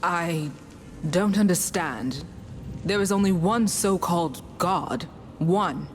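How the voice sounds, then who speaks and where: A woman playing a stern, proud rebel leader in a fantasy game, deliberate and authoritative. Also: fairly low pitch, a little hiss or hum. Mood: troubled, commanding. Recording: loud music behind.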